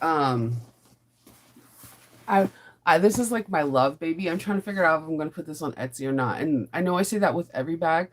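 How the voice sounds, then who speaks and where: A woman hosting a casual sewing live stream, her voice raspy because she is losing it to a sinus illness, chatting in a rambling, laughing way. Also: low voice. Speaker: sewing channel host.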